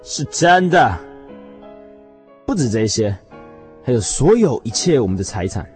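Radio drama husband, played by a man: earnest and obsessed, highish, very animated delivery, theatrical and yearning, over music. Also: unhurried. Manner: theatrical, swinging from hushed reflection to fervent declaration.

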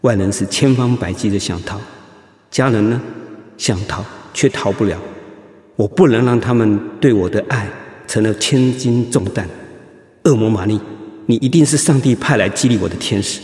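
An actor playing a determined, encouraging male cancer patient in a Mandarin radio drama, with a husky voice. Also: fairly low pitch, moderate pace, darkish tone, no echo, studio quality. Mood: reflective, burdened, then fervent, determined.

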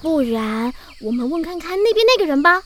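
A woman's voice: sits high, sing-song, brisk, a little hiss or hum. A woman playing a plucky, confident and cheeky schoolgirl in a children's audio drama.

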